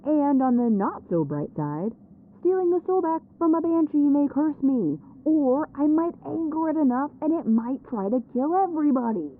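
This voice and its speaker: A woman playing an anxious, reluctant ghost hunter in an audio roleplay, nervous and expressive, through a radio filter. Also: high voice, normal speed. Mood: dreading. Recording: thin, phone-like radio sound.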